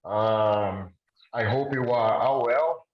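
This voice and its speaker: A man from Brazil with a Brazilian Portuguese accent, asking a question in a halting, hesitant way, with many fillers and restarts, over a video call. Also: mid-range voice. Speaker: audience member asking a question. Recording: echoey muffled video call audio.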